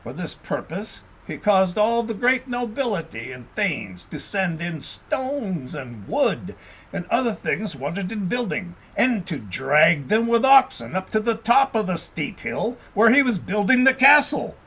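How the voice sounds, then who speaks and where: A man reading a historical tale aloud as an audiobook narrator, in a measured, expressive storytelling manner. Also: high-pitched, husky.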